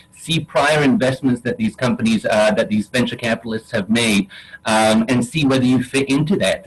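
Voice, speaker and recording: A man with a Geordie accent, an investor on a webinar panel. His voice is a little husky, and his steady middle pitch keeps stumbling over restarts and fillers as he talks. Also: low-quality recording. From Newcastle, England. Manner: halting, many restarts and fillers. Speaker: venture investor.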